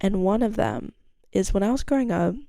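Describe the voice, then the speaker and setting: A young woman chats on her own podcast, rambling, giggling and swearing freely. Her voice is gravelly and mellow. The sound is dry and close. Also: very animated, fairly quick, dry recording. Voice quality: gravelly low-toned voice. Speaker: podcast host. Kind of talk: casual solo chat.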